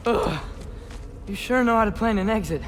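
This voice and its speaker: A man's voice: high-pitched, normal speed, a little hiss or hum, lightly acted. An actor playing a nervous, quippy teenage boy adventurer in a video game, breathless and colloquial. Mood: alarmed. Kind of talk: video game cutscene acting. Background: loud music, action effects.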